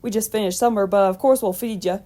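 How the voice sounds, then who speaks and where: A woman's voice: mid-range voice, fairly even intonation, fast talker, mellow, natural voice. A woman voicing a kindly old male farmer with a folksy, hearty manner and a rural country accent, in a storybook reading. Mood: jovial.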